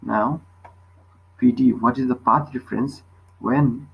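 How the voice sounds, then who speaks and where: A man's voice: mid-range voice, fairly even intonation, slow pace, creaky, breathy edge, highs cut off. A man tutoring physics, explaining a worked problem step by step and often checking with short questions.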